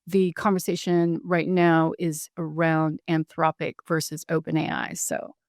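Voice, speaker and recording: A woman's voice: low voice, completely flat delivery, unhurried, darkish tone, no room sound, clean studio sound. Woman host, friendly and explanatory. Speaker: podcast host. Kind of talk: interview hosting.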